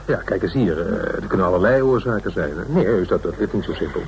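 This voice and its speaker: A man's voice: deep voice, animated, fast talker, darkish tone, a little hiss or hum. An actor playing a fussy, earnest man in a Dutch radio crime serial, hesitant and stammering, with a prim manner. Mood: hesitant, evasive.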